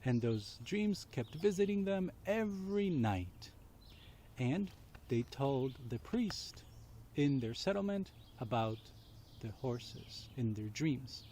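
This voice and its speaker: Slow-paced man telling children's stories. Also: mid-range voice, sing-song, mellow, slight background noise. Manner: deliberate, explains and puts questions to listeners. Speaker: children's storyteller. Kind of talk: storytelling for children.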